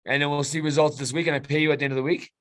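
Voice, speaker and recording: A man's voice: a little high, little pitch movement, rapid-fire. A middle-aged man from Canada, a client talking casually on a video call, hesitant, with many restarts and fillers.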